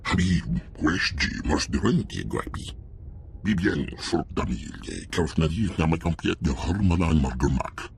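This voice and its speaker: An actor voicing an alien warrior in a video game, declaiming gutturally in an invented language. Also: male voice, extremely low pitch, animated, moderate pace, creaky, a little hiss or hum, heavily acted. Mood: solemn challenge. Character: deep-voiced alien male warrior speaking an invented tongue.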